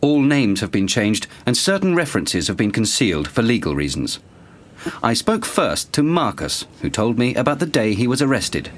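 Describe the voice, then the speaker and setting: An actor playing a sober investigative reporter, narrating a grim exposé gravely and deliberately in an audio drama. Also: male voice, mid-range voice, very animated.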